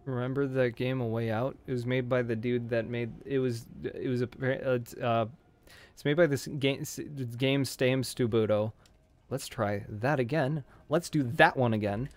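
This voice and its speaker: Hoarse man joking through a game stream. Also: medium pitch, steady tone, moderate pace. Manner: jokey, talks to enemies on screen. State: hoarse from screaming. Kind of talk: casual gameplay commentary.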